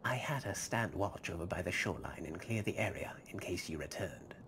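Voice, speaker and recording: A man playing a composed, calculating guard with a measured, formal manner in a fantasy video game. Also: sits low, animated. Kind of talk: video game character acting. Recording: clear ambient noise behind.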